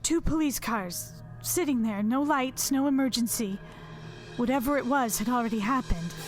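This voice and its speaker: An actress playing a distraught, haunted woman in an audio drama, speaking haltingly as she confides in her psychologist. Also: on the high side, faint music behind, close to an everyday voice. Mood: shaken, haunted. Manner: halting, repeating words, trailing off.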